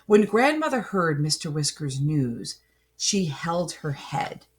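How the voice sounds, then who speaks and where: A woman reading a children's picture book aloud as a storyteller, expressively and with deliberate phrasing. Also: deep voice, big pitch swings.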